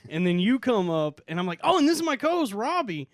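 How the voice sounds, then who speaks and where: A man co-hosting a comedy podcast in a studio, telling stories in an animated way and acting out what people said. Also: high-pitched, quick pace, no echo. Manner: animated storyteller acting out quoted voices. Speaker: podcast co-host. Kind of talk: casual podcast chat.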